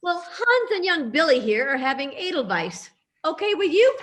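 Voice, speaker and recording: Woman playing Maisie, a high-voiced, lively bartender. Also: normal speed, heavily acted. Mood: welcoming. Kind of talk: radio-play style readers theatre acting.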